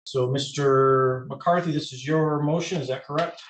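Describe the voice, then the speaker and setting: A man's voice: medium pitch, fairly even intonation, moderate pace. A middle-aged man presiding as judge, talking procedurally in a courtroom on a video call. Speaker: judge presiding over a family court hearing. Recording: roomy, distant courtroom microphone on video call.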